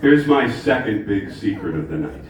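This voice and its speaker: A man in his sixties with a deep voice, a singer chatting between songs, joking at his own expense and chuckling as he talks. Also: very animated, normal speed, far from the mic, very noisy. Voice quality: deep speaking voice. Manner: jokey, self-mocking, chuckling as he talks. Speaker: singer performing a live show. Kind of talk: stage banter between songs. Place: music club stage, indoors. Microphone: stage mic heard from the audience. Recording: echoey club taped from the crowd. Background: loud cheering crowd and band.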